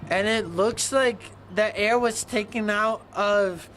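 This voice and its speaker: A woman doing loud, joking play-by-play commentary for a livestream from the press box of an outdoor stadium. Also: mid-range voice, slow, a little hiss or hum. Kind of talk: live sports commentary.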